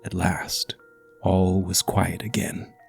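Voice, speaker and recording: A man reading a scripted ghost story as narrator, dramatic and at times hushed. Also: deep voice, little pitch movement, takes it slowly, gravelly, breathy edge, soft background music.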